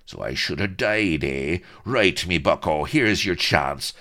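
Brief: man voicing a hot-headed pirate colonel, gruff and bellowing, swooping up loud. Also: on the low side, big pitch swings, a little slow, voice pushed up. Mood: ranting. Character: blustering raging Irish-tinged pirate colonel, middle-aged.

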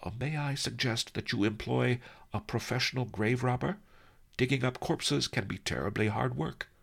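A man voicing an eccentric, excitable doctor in a high, fluttery voice that bursts into shouts. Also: moderate pace, creaky, breathy edge. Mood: polite.

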